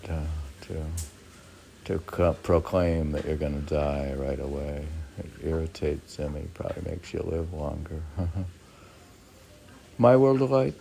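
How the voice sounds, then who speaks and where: A man's voice: extremely low pitch, creaky, dark tone, slight background noise. A man reading his own poems aloud with rambling, musing off-the-cuff commentary, often asking and answering his own questions. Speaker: poet reading own work.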